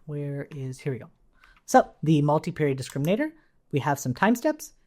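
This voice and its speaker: A man instructing in an informal, think-aloud way. His pitch moves up and down a lot as he explains each step, and he often tags his statements with a checking question. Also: sing-song, fairly quick, mellow.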